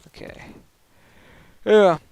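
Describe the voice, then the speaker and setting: A young man streaming a video game, chatting casually and rambling with lots of "like" fillers and quick laughs, into a desk microphone at home. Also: darkish tone, no echo, low-quality recording. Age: young adult. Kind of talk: casual chat while gaming.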